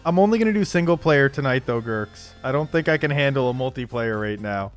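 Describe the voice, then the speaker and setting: A man hosting a casual game livestream, thinking aloud haltingly and improvising story prompts as he goes. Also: mid-range voice, lively intonation, soft background music. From Canada. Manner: halting, thinking aloud, frequent throat clearing. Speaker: livestream host.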